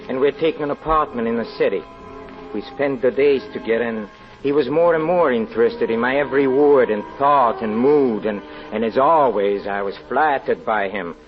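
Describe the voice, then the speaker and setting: A man acting in an old radio drama as a trusting carnival magician who haltingly tells of his own undoing, repeating words as he goes. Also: medium pitch, natural voice. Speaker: narrator and lead actor. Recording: dull old radio recording, music behind.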